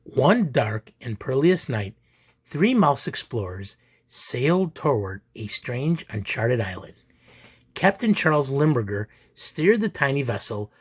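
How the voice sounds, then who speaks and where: A man narrates a children's story in a husky voice. He takes his time, delivering it theatrically and with lively swings in pitch, as if every line belongs to an adventure. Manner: theatrical, deliberate. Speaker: storyteller reading a children's picture book aloud. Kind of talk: expressive dramatic read-aloud narration.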